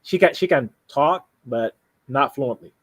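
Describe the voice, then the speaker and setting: A man from Sudan, a native Arabic speaker with a Sudanese Arabic accent, talking casually and haltingly as he explains himself, often repeating and rephrasing. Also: medium pitch, animated, breathy edge, dry recording. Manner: halting, repeats and rephrases, frequent "you know". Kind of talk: casual conversation, explaining personal plans.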